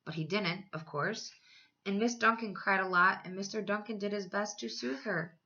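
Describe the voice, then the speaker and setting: A woman teacher reading a children's storybook aloud with expressive, emphatic phrasing. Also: medium pitch, moderate pace, dull audio.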